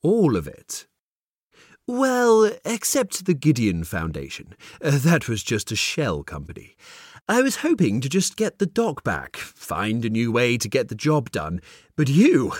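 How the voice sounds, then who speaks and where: A man's voice: medium pitch, huge pitch swings, slow pace, no room sound, full character voice. Man doing a smug grey-haired schemer, breezy and condescending, dry close sound. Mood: amused. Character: smooth, scheming grey-haired male puppet master.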